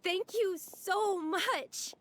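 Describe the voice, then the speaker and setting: Young village girl, high lilting voice, unhurried, hesitant, earnest, game character. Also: fairly bright tone, a little hiss or hum, heavily acted. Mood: amazed. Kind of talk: video game character acting.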